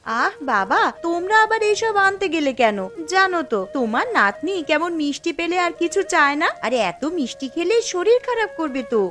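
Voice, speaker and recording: A woman's voice: very high voice, sing-song, brisk, hardly any pauses, a bit bright, soft background music, full character voice. A woman voicing a busy young housewife and mother in a cartoon, practical and a little scolding. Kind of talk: cartoon voice acting.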